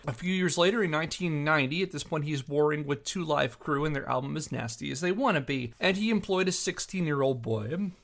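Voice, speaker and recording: Creaky-voiced man narrating a history podcast. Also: a little high, brisk, hardly any pauses, fairly bright tone.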